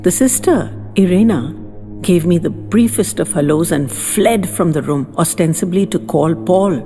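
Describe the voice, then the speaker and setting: A woman's voice: lowish voice, unhurried, dark tone. A woman voicing a genteel seventy-year-old lady who recounts her day in dramatic, old-fashioned audiobook style. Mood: reflective. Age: 70s. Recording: long reverb, clear music underneath.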